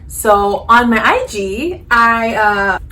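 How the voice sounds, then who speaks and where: A woman in her thirties chatting casually to the camera while doing her makeup, animated and full of fillers and jokey asides. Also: on the high side, slow, low-quality recording. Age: 30s. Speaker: beauty vlogger.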